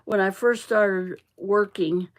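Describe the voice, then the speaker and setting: A woman's voice: medium pitch, steady tone, slow pace, slight background noise. A middle-aged woman from Central Illinois, with that region's accent, chatting and rambling while she works through a craft project on a livestream. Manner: rambling, chatty, laughs often, mutters to herself. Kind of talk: casual chat while crafting.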